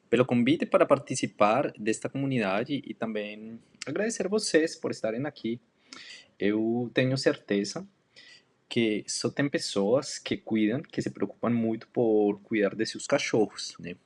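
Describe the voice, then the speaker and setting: Male dog educator, native Spanish speaker from Colombia with audible accent, mid-range voice, measured self-introduction talk with hesitant pauses. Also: medium pitch. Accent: Colombian Spanish accent in Portuguese. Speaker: dog trainer and canine educator.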